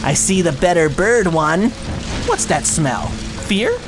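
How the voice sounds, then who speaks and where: A man playing a sinister, taunting villain in an audio drama, with a theatrical, mocking, drawn-out delivery. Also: sits high, huge pitch swings, heavily acted, poor audio. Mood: menacing taunting. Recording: loud music and effects behind.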